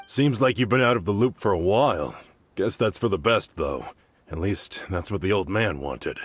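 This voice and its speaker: A husky, bitter young gang member. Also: male voice, low voice, expressive, moderate pace. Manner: resentful, vengeful.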